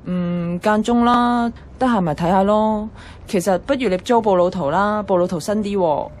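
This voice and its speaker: A woman's voice: mid-range voice, moderate pace, darkish tone, slight background noise, natural voice. A woman playing a feisty, blunt young office worker who loves comics, in a Hong Kong Cantonese radio drama. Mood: keen, casual. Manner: teasing, blunt.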